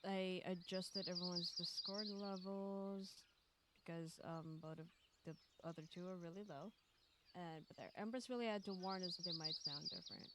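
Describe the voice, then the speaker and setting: A woman's voice: on the low side, steady tone, normal speed, heavy background noise. A woman hosting a stream in casual chat, rambling and hesitating as she goes. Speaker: streamer hosting a group visual novel stream.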